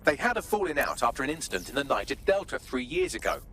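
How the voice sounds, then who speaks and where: A man playing a wry, sardonic intelligence briefer in a video game cutscene, articulate and sharp. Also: fairly high pitch, very animated, quick pace, a little hiss or hum, exaggerated voice. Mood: brisk, informative.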